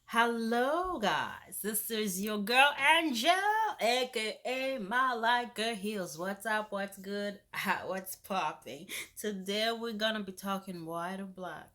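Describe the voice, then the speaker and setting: A young woman, vlogging in a casual, animated rant that turns indignant. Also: mid-range voice, takes it slowly, hardly any pauses. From Zambia. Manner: animated, indignant, rises sharply when exasperated. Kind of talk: casual ranting vlog.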